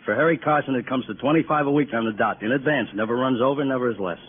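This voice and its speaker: An actor playing a fussy, impatient neighbourhood grocer in a 1950s radio drama, plain-spoken and repetitive. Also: male voice, medium pitch, fast talker, close to an everyday voice. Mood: insistent, explaining. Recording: tinny, noisy old radio recording.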